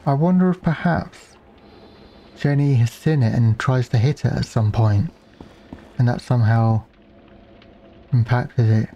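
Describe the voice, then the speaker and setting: A man's voice: mid-range voice, unhurried, pauses often, warm tone, a little hiss or hum. A man playing a game and musing aloud in a wry, casual way. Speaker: let's play gamer.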